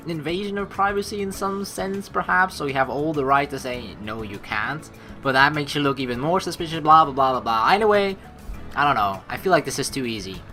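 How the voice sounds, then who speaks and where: Low-voiced rambling let's play woman. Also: pushed and bright. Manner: casual, rambling, self-correcting. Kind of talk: reading a visual novel aloud with chatty asides.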